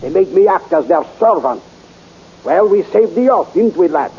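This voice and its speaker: A man's voice: sits high, lively intonation, moderate pace, slightly rough, exaggerated voice. An actor playing a loyal, fatherly older scientist in a 1930s radio serial, in a dull lo-fi old recording. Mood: earnest.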